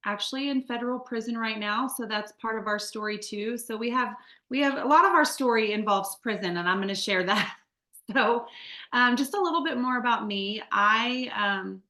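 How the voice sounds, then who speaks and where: A woman's voice: a little high, normal speed, bright, forceful tone, roomy sound. A woman in her forties from the Midwest, sharing her life story as an interview guest in a candid, chatty way with many fillers and restarts. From Midwest United States. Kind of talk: conversational testimony.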